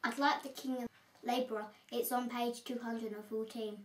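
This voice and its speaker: A bookish young girl at bedtime, played by a female actor, polite and a little cheeky. Her fairly high voice echoes in the room, slightly away from the mic. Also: steady tone, moderate pace, distant mic, close to an everyday voice. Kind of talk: amateur drama dialogue.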